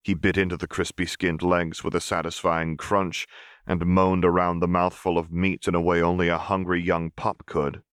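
Low male audiobook narrator, calm and dry. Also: moderate pace, clean tone, no room sound. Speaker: audiobook reader. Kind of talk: scripted narration.

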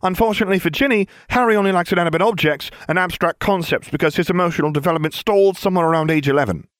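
Guy reading a teen girl listing gossip flat and brisk, a bit high, dry close sound. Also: no room sound, close to an everyday voice. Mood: matter-of-fact. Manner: matter-of-fact, rattling off gossip. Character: brisk gossipy teenage girl giving a briefing.

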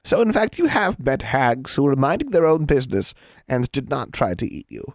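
A man's voice: mid-range voice, sing-song, moderate pace, no echo, clean studio sound, voice pushed up. A man voicing a cheerful, officious hag woman with a menacing sweetness, as a storybook character. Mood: indignant. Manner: indulgent, officious, crooning, mock-sweet.

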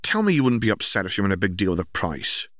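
Audiobook little sister, male reader, exasperated, sharp and hushed, close dry sound. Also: on the low side, big pitch swings, quick pace, no echo. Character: young girl, exasperated sister scolding her brother.

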